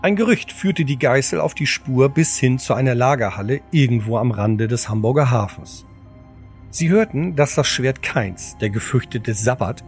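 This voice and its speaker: A man's voice: mid-range voice, a little mellow. A man reading scripted narration as the narrator of an audio drama. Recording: voice over background music. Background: music.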